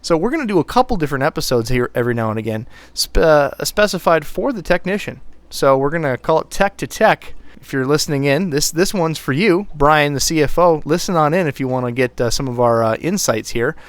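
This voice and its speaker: A man co-hosting a podcast about IT work. His voice sits low, though he lifts it toward a brighter tone on purpose. He speaks in a deliberate way with frequent fillers, and the recording is dry and close. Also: animated, dry recording. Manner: deliberate, with many fillers and restarts. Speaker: podcast co-host, IT technician. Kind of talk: casual podcast talk. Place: Minnesota, US.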